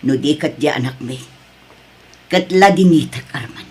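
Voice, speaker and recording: A woman playing a stern, worried grandmother in an Ilocano drama, with a husky voice and Ilocano accent. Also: sits low, normal speed, a little mellow. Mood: stern, hurt. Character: stern, worried grandmother rebuking her son-in-law. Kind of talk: Ilocano radio-style drama acting.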